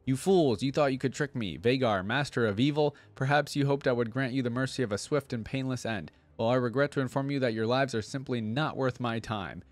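A man's voice: mid-range voice, fairly quick, hardly any pauses, no room sound, lightly acted. A man voicing a small, pompous evil wizard who declaims grandly, as in a storybook reading. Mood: scornful, grand.

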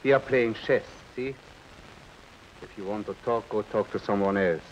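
A man's voice: fairly low pitch, normal speed, muffled recording, lightly acted. An actor playing a sly, cheerful middle-aged male refugee con man, wheedling and teasing in a 1950s TV drama. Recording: old TV film sound with faint rain hiss.

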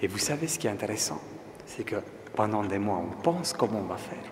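A man's voice: on the low side, sing-song, a little fast, background noise. A man in his forties with a French accent, chatting casually to camera as an artist vlogger and calling his viewers friends. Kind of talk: casual vlog talk to camera. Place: large empty exhibition hall, indoors. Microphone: handheld camera at arm's length. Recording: long hall reverb with music behind.

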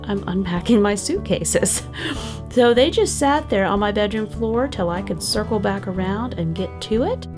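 A woman's voice: medium pitch, animated, normal speed. A middle-aged woman narrating her home cooking and cleaning vlog in a chatty, upbeat voice-over. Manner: chatty and upbeat, with frequent laughs. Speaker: family vlogger. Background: guitar background music.

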